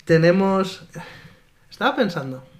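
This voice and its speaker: A high-pitched man speaks in a loose, amateur podcast chat. He laughs easily and reads out listener comments between tangents. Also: very animated, unhurried. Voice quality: higher voice than his co-host. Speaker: podcast co-host. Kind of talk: casual chat.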